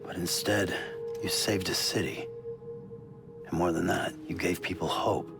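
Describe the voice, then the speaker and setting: A man playing a gruff, weary courier hero in a video game, murmuring in terse, understated lines. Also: fairly even intonation, unhurried, over music. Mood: solemn, reassuring. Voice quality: gravelly, low murmur. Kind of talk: cinematic video game acting.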